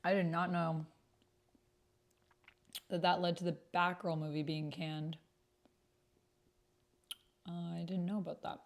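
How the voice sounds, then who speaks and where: A woman's voice: on the low side, flat delivery, takes it slowly, pauses often. A woman streamer chatting casually with viewers, murmuring bits aloud as she types at a keyboard. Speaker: streamer hosting an actual play. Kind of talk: casual livestream chat.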